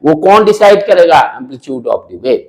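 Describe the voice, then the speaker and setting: A man with an Indian accent and a loud voice lecturing as a physics teacher, posing questions, repeating key phrases and mixing in English. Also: a little high, very animated, a little slow.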